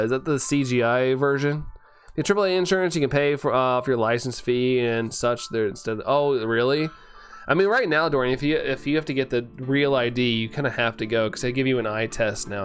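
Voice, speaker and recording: Chatty young man with a fading voice. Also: mid-range voice. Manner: chatty, laughs often, repeats phrases. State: voice keeps giving out. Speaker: streamer. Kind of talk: casual live-stream chat reading comments.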